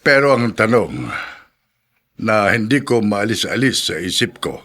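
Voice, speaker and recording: A man's voice: lowish voice, sing-song, unhurried, a bit bright. A Filipino man acting in a radio drama as a skeptical, brooding older father.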